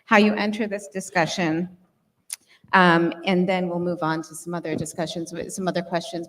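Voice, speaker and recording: A woman with a slightly low voice moderates an academic panel. She speaks deliberately, pausing between phrases as she introduces it. The sound rings with the reverb of a large auditorium. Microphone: handheld microphone.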